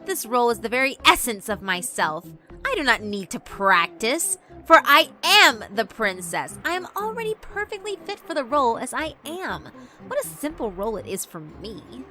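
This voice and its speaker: Loud, haughty schoolgirl princess. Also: fairly high pitch, sing-song, moderate pace, pitched above the performer's own voice. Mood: haughty, boastful. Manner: pompous, melodramatic, boastful. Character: haughty, bragging, theatrical young schoolgirl playing princess.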